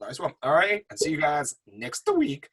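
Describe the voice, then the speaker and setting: A man's voice: on the high side, very animated, normal speed, fairly bright tone, breathy edge. A man hosting a casual film review chat, speaking in a chummy, slangy and enthusiastic way. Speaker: film review show host.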